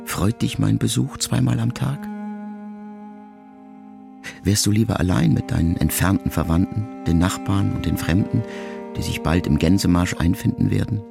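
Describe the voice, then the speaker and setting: A middle-aged man reading a literary memoir aloud in a measured, reflective first-person voice. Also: on the low side, creaky, over music. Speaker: audiobook narrator. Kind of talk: scripted literary narration.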